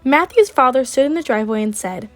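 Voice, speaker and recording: A woman's voice: high voice, fast, soft background music. A young woman in her late teens reading a children's picture book aloud expressively. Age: teenager, high school senior. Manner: animated, acts out characters. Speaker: read-aloud storyteller.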